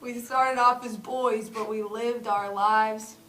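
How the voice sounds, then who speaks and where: A woman's voice: a little high, steady tone, slow pace, fairly bright tone. A woman playing a weary, sentimental middle-aged male movie star in grief at a comedy table read. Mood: mournful, sentimental. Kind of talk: live comedy script read-through. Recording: roomy, distant mic, faint noise.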